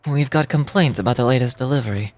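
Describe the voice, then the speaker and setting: Game smuggler played by a woman: low voice, shady, suspicious, menacing, accusing. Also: fast talker, a little mellow, soft background music.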